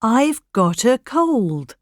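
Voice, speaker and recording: A woman reading model sentences slowly and clearly for a coursebook recording. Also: on the high side, sing-song, no echo, studio quality. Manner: slow, careful model sentences for learners. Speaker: course audio speaker. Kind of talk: scripted coursebook audio.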